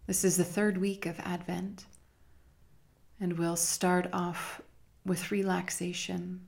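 Woman, meditation guide, low-pitched, even and flat intonation, hushed, measured, long pauses. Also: vocal fry. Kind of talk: slow guided meditation narration.

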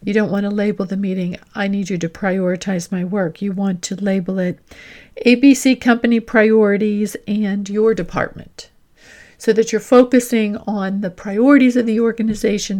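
A woman podcast host and executive coach delivering a scripted, instructional monologue in a deliberate way, speaking directly to the listener. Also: medium pitch, darkish tone.

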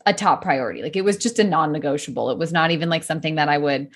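A woman's voice: sits low, rapid-fire. A woman in her thirties, a new mother, talking casually and laughing often as she chats. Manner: chatty, laughs often, lively emphasis. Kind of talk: casual conversation.

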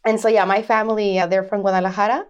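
A woman's voice: medium pitch, level tone, fast, smooth, muffled recording. A woman in her thirties from Arizona, telling her life story as an interview guest, chatty, laughing often and restarting phrases. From Arizona, USA.

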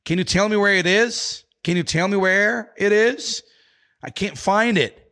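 A man putting on the voice of a lost foreign tourist, asking for directions in slow, careful English. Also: high-pitched, pushed and bright, pitched above the performer's own voice, full character voice. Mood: polite, puzzled.

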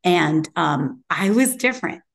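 Woman guest chatting in an interview, slightly low voice, emphatic and opinionated. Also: lively intonation, a little slow, vocal fry. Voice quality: loud, strong voice. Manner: opinionated, emphatic, self-assured. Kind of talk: reflective conversational interview.

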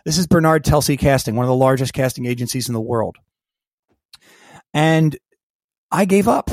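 A man telling a personal story as a podcast guest, lively and acting out the voices of people in it. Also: medium pitch, normal speed, no room sound, studio quality. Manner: animated storyteller who acts out quoted dialogue. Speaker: guest, keynote speaker and coach.

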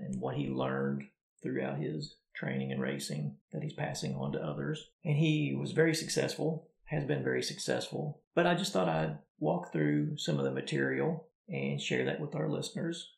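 Gravelly man telling a story on a podcast. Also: mid-range voice, roomy sound. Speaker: podcast co-host. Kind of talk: casual podcast chat.

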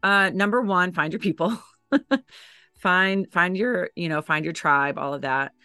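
A woman's voice: on the low side, a little slow, bright, forceful tone, smooth, dry recording, clean studio sound, faint music behind. A woman with a scratchy, tired voice from teaching, chatting as a podcast guest with lots of fillers and restarts. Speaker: podcast guest, dance educator and coach. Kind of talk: casual interview chat.

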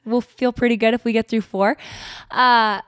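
Woman, young adult, host, slightly croaky, chatty, dead dry studio sound. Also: a little high, steady tone, normal speed, no echo. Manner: enthusiastic, chatty. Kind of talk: casual interview and promo. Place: home studio. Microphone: large studio microphone.

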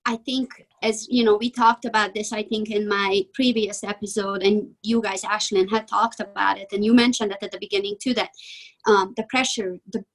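A young woman with a Hungarian accent, speaking as a guest in a podcast interview. She is candid and reflective and often softens her points with small hedges. Her voice sits at a middling pitch. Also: fairly even intonation, moderate pace, low-quality recording.

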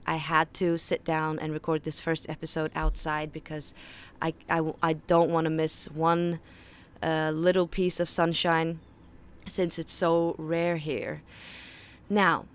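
A woman's voice: sits low, clean tone. A young woman with a Faroese accent, a native Faroese speaker, hosting a podcast in a casual, earnest monologue full of small restarts. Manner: earnest and conversational, with frequent restarts. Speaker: podcast host. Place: outdoor home balcony. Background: birds and distant ships.

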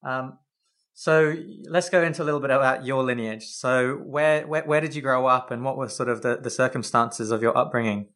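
Bright-voiced male podcast interviewer. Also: medium pitch, moderate pace. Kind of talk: casual conversational interview.

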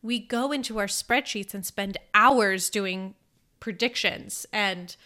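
A young woman coaching listeners on her podcast in a friendly, conversational way. Her voice is a little stuffy and groggy from a cold, but she keeps an upbeat tone at an ordinary mid pitch. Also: slow pace, pushed and bright. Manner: upbeat, conversational, often asks "right?". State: congested, slightly groggy voice. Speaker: podcast host and financial coach. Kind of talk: casual coaching monologue.

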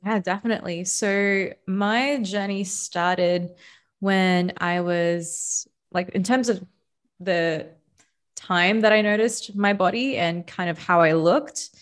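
A young woman from the Philippines, a podcast guest, telling her own story in a relaxed, reflective way with many fillers. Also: medium pitch, slow. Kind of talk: casual interview storytelling.

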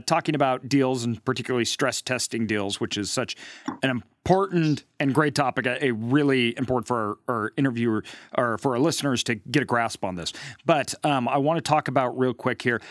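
A man's voice: medium pitch, no echo. Male podcast host, dry close sound. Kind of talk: casual interview hosting.

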